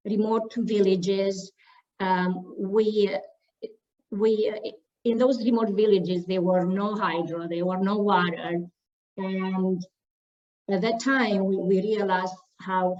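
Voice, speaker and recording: A woman from Romania, a staff member telling her story at an online panel, halting with many fillers and restarts. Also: mid-range voice, darkish tone, distant mic, muffled recording.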